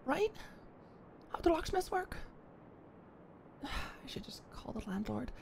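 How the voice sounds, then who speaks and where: Shy, stammering young woman, gravelly edge. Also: high voice, sing-song, slow. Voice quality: soft whispering at times. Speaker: ASMR roleplay performer. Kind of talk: ASMR roleplay, one-sided dialogue.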